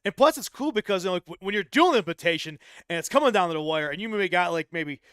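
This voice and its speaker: A man hosting a relaxed interview rattles along at a fast clip in a smooth, clean voice. He stumbles into repeated words and backs his guest with quick "right" and "yeah." The recording is dry and close. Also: high voice, dry recording. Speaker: interview host. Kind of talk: casual interview chat.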